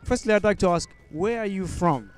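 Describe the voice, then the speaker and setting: A man interviewing people at an event, halting with frequent fillers and restarts. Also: high voice, expressive, soft background music. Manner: hesitant, many ums and restarts.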